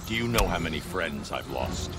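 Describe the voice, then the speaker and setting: Casting: stern game vigilante, very deep, brooding and clipped. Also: male voice, expressive, normal speed. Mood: stern warning. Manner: terse, brooding. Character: stern, grim masked vigilante hero. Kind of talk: video game hero dialogue. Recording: loud music under the voice.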